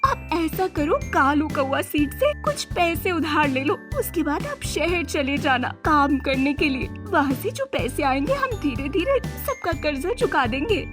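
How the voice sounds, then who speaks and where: A woman's voice: sits high, sing-song, measured pace, few pauses. A woman voicing an earnest, polite, pleading young wife bird in a cartoon story. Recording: music behind the voice.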